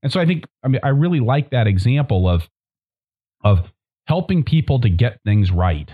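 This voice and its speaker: A man's voice: medium pitch, normal speed, slightly rough, narrow, tinny audio. A man hosting a farming podcast, thoughtful and emphatic in conversation. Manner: thoughtful, emphatic, lively inflection. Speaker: podcast host. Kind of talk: conversational interview.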